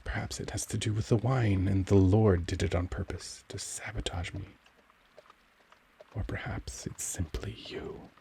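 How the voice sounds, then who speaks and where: Guy playing brooding courtly vampire, really low creaky voice, intimate asmr roleplay, gentle but anguished, noisy ambient background. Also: steady tone, a little slow. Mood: confessional, brooding. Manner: formal, courtly, tender, brooding. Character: gentle, cultured young male vampire, anguished, protective, struggling for control.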